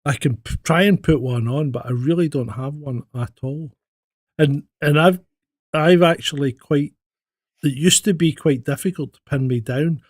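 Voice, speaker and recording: A Scottish man co-hosting a podcast, his accent leaning West Coast. He talks bluntly and animatedly, with a slightly high voice, recorded close and dry. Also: normal speed, no echo. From Scotland. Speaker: podcast co-host. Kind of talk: casual chat.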